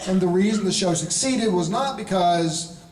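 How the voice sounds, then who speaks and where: A man in his forties from North Carolina, answering fan questions at a convention panel in an animated, laughing storytelling way. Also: sits high, normal speed, slight background noise. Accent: Charlotte, North Carolina. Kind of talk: live convention Q&A. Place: large convention hall. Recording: echoey hall from far off.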